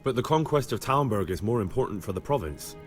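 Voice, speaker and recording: A man's voice: fairly low pitch, expressive, fast, natural voice. Guy voicing a young medieval squire in a game, earnest, pushy, voice moves around a lot, music under. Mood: earnest, persuading. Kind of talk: video game cutscene acting.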